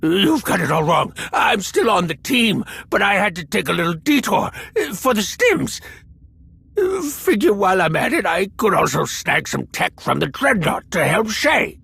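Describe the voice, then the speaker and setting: Twitchy stim-hooked hacker for a game: high-set, badly husky voice, nervous rambling. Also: big pitch swings, moderate pace, bright, forceful tone, no echo, exaggerated voice. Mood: agitated, evasive. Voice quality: raspy, scratchy. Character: jittery, eccentric male slicer addicted to stims. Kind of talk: video game voice acting.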